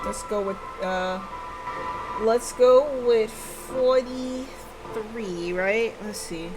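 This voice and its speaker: A woman's voice: fairly high pitch, extremely slow, rough recording. A woman hosting a casual game livestream, chatting with viewers and thinking aloud in hesitant fragments. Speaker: livestream host. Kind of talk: casual live game-stream chat with viewers. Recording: background music under the voice. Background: music.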